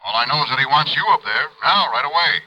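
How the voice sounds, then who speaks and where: A man's voice: a little high, lively intonation, sharp tone, muffled recording, exaggerated voice. An actor playing a worried, businesslike male insurance company boss in a 1950s radio drama. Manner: brisk, fretful, businesslike.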